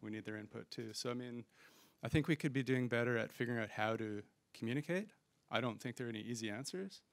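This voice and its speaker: A man's voice: mid-range voice, fast. A male panelist speaks in a thoughtful, hedging way, stopping often to find his words, in a mid-range voice. The handheld microphone keeps him dry and close, with no room echo. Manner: thoughtful, hedging, frequent fillers and restarts. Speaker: panelist and conference organizer. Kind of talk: conference panel discussion. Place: conference room stage.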